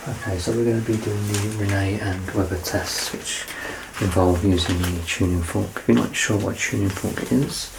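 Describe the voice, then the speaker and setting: A man in his thirties doing an ASMR medical exam roleplay as a doctor, soft-spoken, reassuring and explanatory. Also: lowish voice, fairly even intonation, normal speed, creaky, background noise. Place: small curtained indoor room.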